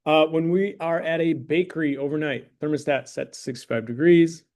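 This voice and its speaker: Joking high school math teacher. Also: male voice, steady tone, moderate pace, a little mellow. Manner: joking, conversational, checks in with "right?" and "okay?". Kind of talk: classroom lesson with a mock sponsor plug. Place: classroom.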